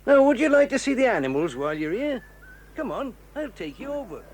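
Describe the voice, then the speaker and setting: A man's voice: very high-pitched, big pitch swings, a little fast, background noise. An actor playing a friendly, plain-spoken circus man with an easy-going, folksy manner in a children's drama. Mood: genial. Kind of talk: 1980s children's audio drama acting.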